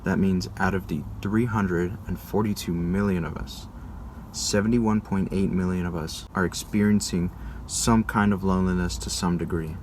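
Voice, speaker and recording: Young American man, vlog presenter. Low-pitched, conversational and informal, with faint ambient noise behind him. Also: fairly even intonation, moderate pace. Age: young adult. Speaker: video creator presenting a school project. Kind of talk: casual presentational vlog narration.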